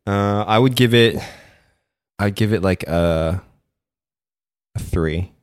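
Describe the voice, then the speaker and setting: A young man with a low voice chats loosely on a podcast, dropping fillers and slipping into mock quotes. The sound is very dry and close, with no room around it. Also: takes it slowly, clean tone, no echo. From Philippines. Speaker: podcast co-host. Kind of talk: casual chat.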